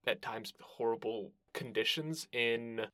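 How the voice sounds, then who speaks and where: A man with a mid-pitched voice hosts a podcast interview in a casual register. He phrases things thoughtfully and with measure, in a very dry recording. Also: slow pace, bright, forceful tone, dry recording.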